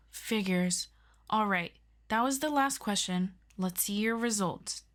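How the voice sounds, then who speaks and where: A woman's voice: mid-range voice, slow pace, close to an everyday voice. A woman acts out a female superhero tutoring her best friend in an audio roleplay. She speaks at a very leisurely pace in a mid-range voice. She is earnest and affectionate, rambling a little as she hesitates. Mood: playful, thoughtful. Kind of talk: roleplay audio acting, ASMR.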